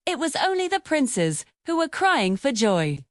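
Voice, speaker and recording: A woman's voice: sits high, very animated, a little slow, no echo, studio quality. A woman voicing a haughty, confident young princess who dismisses others in a fairy tale. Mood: reassuring. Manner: scornful, confident.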